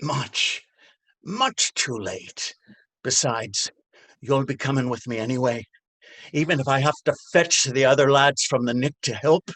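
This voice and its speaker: An actor playing a cruel, taunting police officer in a stage drama, with a mocking, menacing drawl. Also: male voice, mid-range voice, measured pace, fairly bright tone. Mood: cruel, gloating. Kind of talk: stage readers theater drama.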